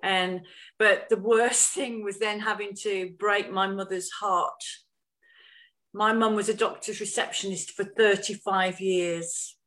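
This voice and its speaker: A woman's voice: mid-range voice, fairly even intonation, takes it slowly, a bit bright, low-quality recording. A woman co-hosting a casual live podcast, speaking in a thoughtful, reflective way. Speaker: podcast co-host.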